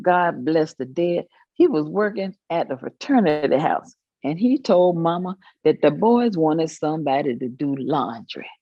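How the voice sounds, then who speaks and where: Muffled, low-voiced elderly woman played by an actress reminiscing. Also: dry recording. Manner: folksy storytelling with hummed asides and chuckles. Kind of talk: staged reading of oral history recollections.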